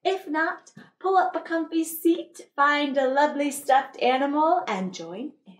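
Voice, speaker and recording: Young lady kids story host, high sing-song voice, encouraging, echoey room and a bit far from mic. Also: a little slow. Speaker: children's story time host. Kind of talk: children's presenting and storytelling. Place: small indoor set with a couch. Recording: roomy sound, distant microphone.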